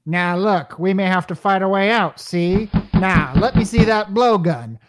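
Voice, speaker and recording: A man's voice: sits high, unhurried, over music. An actor playing a harried, wisecracking repairman with slangy, scheming patter in an old-time radio comedy. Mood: urgent, conspiring. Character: Caldwell, wisecracking repairman, a harried man scheming to save himself.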